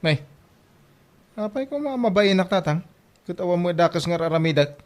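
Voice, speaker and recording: A man's voice: sits high, animated, moderate pace, a little mellow. A man with an Ilocano accent acting as a lazy young dreamer in a radio comedy drama, evasive, whiny and boastful. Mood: whiny, defensive.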